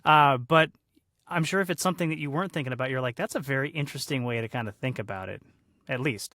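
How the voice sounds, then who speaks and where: A man's voice: mid-range voice, fast, bright, forceful tone, no room sound, studio quality. A man hosting a casual podcast chat, speaking in an informal, enthusiastic way. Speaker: podcast host and cast member.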